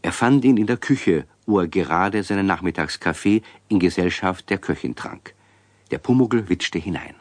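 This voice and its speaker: A man's voice: deep voice, animated, moderate pace, no echo. A man narrating a children's radio play in scripted storytelling style.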